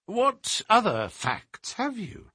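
A man's voice: sits high, huge pitch swings, slow pace, bright, forceful tone, husky, dry recording, clean studio sound, voice pushed up, exaggerated voice. A man voicing a respectful, plain-spoken and often puzzled police inspector in an audiobook.

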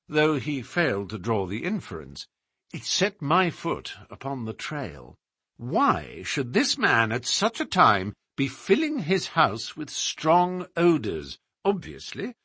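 A man voicing a self-assured gentleman detective in an audiobook, explaining in a precise, formal, theatrical way. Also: fairly high pitch, husky, dry recording, studio quality, pitched above the performer's own voice, full character voice.

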